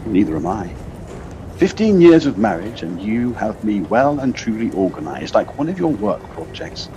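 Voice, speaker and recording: A man in an audio play voicing a calm, supportive husband with a measured, slightly hesitant, reassuring way of talking, recorded on a personal device. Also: lowish voice, highs cut off, noisy background. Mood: teasing.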